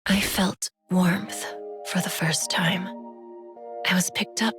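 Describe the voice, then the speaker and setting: An actress voicing a grown half-demon woman who solemnly narrates memories of her childhood in a hushed, breathy, wistful way. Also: fairly low pitch, fairly even intonation, slow. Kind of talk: scripted dramatic narration. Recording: music behind the voice.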